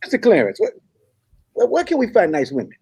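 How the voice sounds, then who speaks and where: A man playing a polite, naive young man looking for love in a comedy table read, with a husky voice. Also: high-pitched, wildly swooping pitch, fairly quick, darkish tone, exaggerated voice. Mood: curious.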